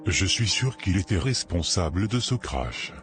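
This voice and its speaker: A man playing a cruel, domineering stepfather in an animated drama, scornful and menacing. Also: low-pitched, a little slow. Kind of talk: dramatic dialogue in an animated short. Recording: loud music behind.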